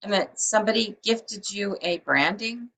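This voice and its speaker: A woman's voice: medium pitch, moderate pace, vocal fry, fairly bright tone, low-quality recording. A woman publisher hosting a book show over a video call, chatty and rambling with many fillers. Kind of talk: casual interview chat.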